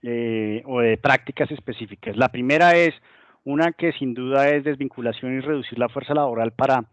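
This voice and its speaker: A man's voice: mid-range voice, normal speed, dry recording, highs cut off. A man from Colombia with a Colombian accent, giving an explanatory business webinar presentation and now and then voicing what companies say. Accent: Colombian Spanish. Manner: explanatory, acts out hypothetical company voices. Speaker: guest presenter.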